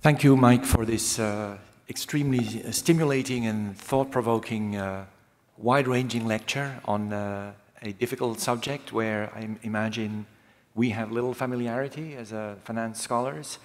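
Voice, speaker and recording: A man chairing an academic session, giving halting impromptu remarks with many stammers and repeated words. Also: mid-range voice, fairly even intonation. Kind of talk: impromptu remarks after a lecture. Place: large hall, public event. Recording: reverberant lecture hall.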